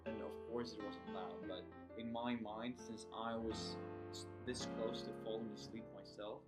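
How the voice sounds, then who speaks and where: Low-voiced young man rambling in a vlog. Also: fairly even intonation, moderate pace, over loud music. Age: young adult. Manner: rambling, self-correcting. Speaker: vlogger. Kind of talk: casual chat to camera.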